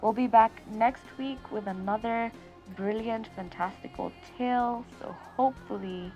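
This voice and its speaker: A woman's voice: fairly high pitch, measured pace, darkish tone, soft background music, low-quality recording. A woman with a Kenyan accent hosting a fiction podcast, talking expressively and stretching words for emphasis. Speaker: podcast host. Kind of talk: warm outro chat.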